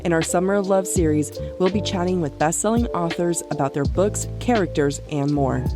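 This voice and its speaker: A woman's voice: lowish voice, a little fast, music in the background. A woman podcast host who moves between scripted introductions and casual chat.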